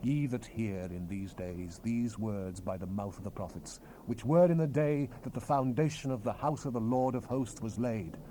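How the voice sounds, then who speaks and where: A man's voice: lowish voice, moderate pace, few pauses, dark tone, natural voice. A man playing a solemn, commanding Hebrew prophet in a dramatized Bible reading, with grave, declamatory, emphatic phrasing, on an old cassette rip. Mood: solemn, proclaiming. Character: solemn, commanding male Hebrew prophet relaying God's word. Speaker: scripture narrator. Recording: hissy unprocessed cassette tape rip.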